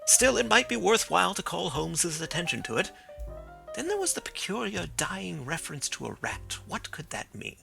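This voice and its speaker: A man narrating a classic story as an audiobook, reading in a steady, reflective way. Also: high voice, normal speed, gravelly, faint music behind.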